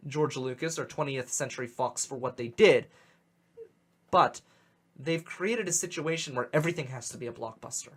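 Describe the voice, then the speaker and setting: Animated Pittsburgh radio host, opinionated. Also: male voice, fairly high pitch. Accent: Western Pennsylvania. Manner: animated, opinionated, repeats words when excited. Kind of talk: casual radio talk. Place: radio studio. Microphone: studio microphone.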